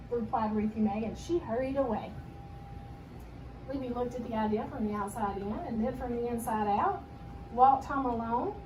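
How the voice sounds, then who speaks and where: A woman teacher reading a children's story aloud with expressive narration. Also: on the high side. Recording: noisy, distant microphone.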